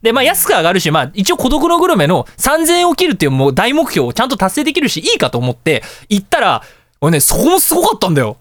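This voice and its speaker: A man hosting a radio show, telling everyday anecdotes in a casual, excitable way and acting out little exchanges. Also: high voice, fast talker, dry recording, studio quality. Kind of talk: casual storytelling radio talk.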